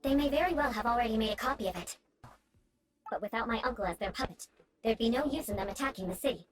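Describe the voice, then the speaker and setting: A woman's voice: a little high, fast talker, music in the background, close to an everyday voice. A woman voicing a proud, vengeful young female knight in a video game, with haughty, theatrical, formal delivery. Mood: serious, measured.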